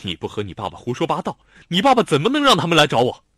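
Brief: man playing a cornered young guy, hot-tempered, sharp and loud, storybook reading. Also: low voice, very animated, moderate pace, slightly rough, no room sound, clean studio sound, exaggerated voice. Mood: angry. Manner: angry, sharp, raised. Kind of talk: audiobook character voice.

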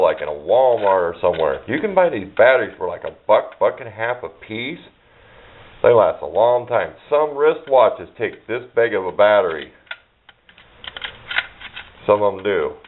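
A middle-aged man with a fairly low voice talks casually through a show-and-tell, rambling along in a folksy, offhand manner. The sound is thin and phone-like, picked up by a camera's own microphone with some faint noise. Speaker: hobbyist showing a parts collection. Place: indoor workbench. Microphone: handheld camera's built-in microphone. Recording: muffled phone-like sound with hiss, clicks.

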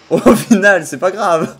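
A man's voice: high-pitched, takes it slowly, breathy edge, soft background music. A young man with an accent from the Sarthe, streaming a video game from a small room at home and chatting to viewers on a headset mic, mixing mock-outraged rants with laughter. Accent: Sarthe French. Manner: mock-outraged ranting, laughing often, bursts of loud excitement. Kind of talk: casual livestream chat while playing a video game.

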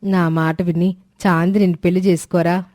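A woman's voice: on the low side, flat delivery, moderate pace, warm tone, dry recording, clean studio sound, natural voice. A woman voicing an affectionate, persuasive mother of grown sons in a family story. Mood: coaxing.

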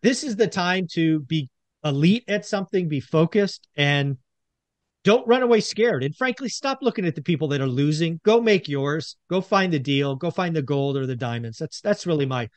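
Middle-aged guy hosting a money show from home, pumped up, bit higher voice, dry. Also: lively intonation, moderate pace, dry recording. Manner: earnest, rallying, addresses viewers as folks. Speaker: podcast host. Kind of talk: casual investing talk. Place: home studio with shelves, indoors.

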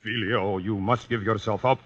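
Formal old fencing maestro, muffled. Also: male voice, lowish voice, steady tone, slightly rough. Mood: insinuating. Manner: formal, deliberate phrasing. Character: older European fencing maestro, fatherly and insinuating. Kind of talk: 1950s radio acting. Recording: dull vintage 1950s radio recording.

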